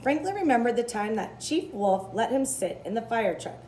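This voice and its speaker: High, lively woman reading a children's story. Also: moderate pace, a little hiss or hum. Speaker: storybook reader. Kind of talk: expressive read-aloud of a children's story.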